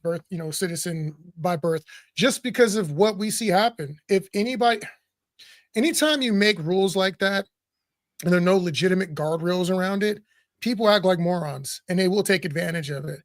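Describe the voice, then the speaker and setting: A man with a notably high voice co-hosting a political talk show, blunt and animated, often breaking into laughter mid-sentence. The sound is dry and close, with no room around it. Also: normal speed, no echo. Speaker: co-host.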